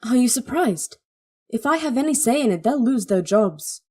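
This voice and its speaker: A woman's voice: on the high side, lively intonation, normal speed, warm tone, breathy edge. A woman playing an imperious, protective young princess, a king's daughter, in an audio drama. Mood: incensed, commanding. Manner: imperious, clipped commands.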